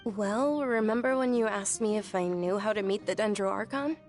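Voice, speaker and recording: A woman playing a gentle, polite, frail young noblewoman in a video game. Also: medium pitch, moderate pace, soft background music, lightly acted. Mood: wistful. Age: young adult.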